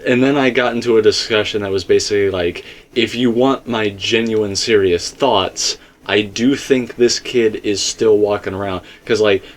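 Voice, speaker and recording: A man with a slightly low voice holds forth in a casual discussion. He speaks emphatically and rambles, slipping into asides and quoting himself as he works through his theory as a commentator.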